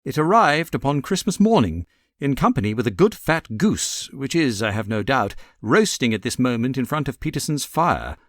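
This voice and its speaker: A self-assured Victorian gentleman detective, played by a male audiobook reader, with a British accent. He is precise, amused and theatrical, with pitch that rises and dips freely. The sound is dry and close. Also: sing-song, normal speed, dry recording. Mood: brisk, expository. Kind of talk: audiobook character reading.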